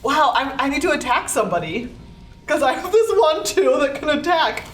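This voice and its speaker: Card player, giggly woman, lively pitch; roomy sound from a distant mic. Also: moderate pace, a little hiss or hum. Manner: playful, giggly. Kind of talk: casual game-table chat. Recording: echoey room, mic at a distance.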